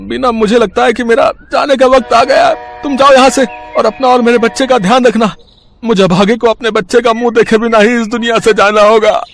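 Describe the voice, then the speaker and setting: An actor playing a young husband caught on the rail tracks, speaking in a strained, pleading way in a cartoon story. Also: male voice, very high voice, fairly even intonation, brisk, vocal fry. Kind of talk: Hindi cartoon voice acting.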